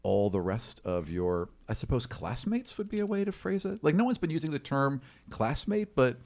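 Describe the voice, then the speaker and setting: A man's voice: medium pitch, very animated, moderate pace, creaky, a little mellow. A man improvising tabletop game narration as game master, with theatrical emphasis and conversational asides.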